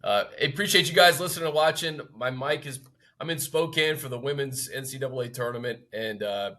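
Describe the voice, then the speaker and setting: A man hosting a casual sports podcast, talking informally in halting, conversational phrases. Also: mid-range voice, sharp tone.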